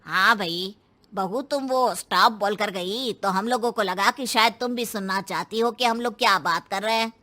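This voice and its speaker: A woman's voice: medium pitch, moderate pace, pushed and bright. A woman delivering scripted dialogue in a cartoon story.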